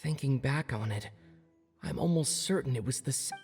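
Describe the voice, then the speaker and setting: An actor playing an earnest, thoughtful young traveler, reasoning deliberately in scripted video game dialogue. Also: male voice, mid-range voice, lively intonation, darkish tone, soft background music, close to an everyday voice. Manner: deliberate, analytical.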